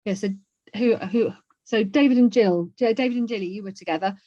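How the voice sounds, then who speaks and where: A woman's voice: mid-range voice, fairly quick, warm tone. A woman hosting and chatting in an online discussion, recorded over a video call. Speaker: webinar host. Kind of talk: conversational webinar discussion. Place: indoor room on a video call. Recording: muffled, echoey video call audio.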